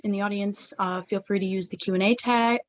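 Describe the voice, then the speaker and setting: A woman's voice: mid-range voice, fairly even intonation, fairly quick, dry recording. A young woman, a student theater club officer, hosting a video-call panel with lots of hesitant ums.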